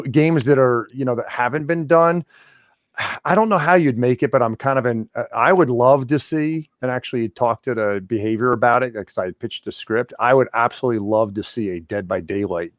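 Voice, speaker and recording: A man's voice: mid-range voice, normal speed, dry recording. Chatty man on a muffled video call. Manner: chatty, rambling with restarts. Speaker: guest. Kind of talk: casual chat on a live stream.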